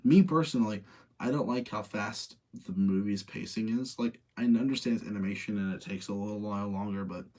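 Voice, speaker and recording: A man's voice: on the low side, fairly quick, darkish tone. A man chatting casually as a streamer to a live audience, opinionated and debating with himself, often repeating phrases for emphasis. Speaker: streamer hosting a live movie-rating chat.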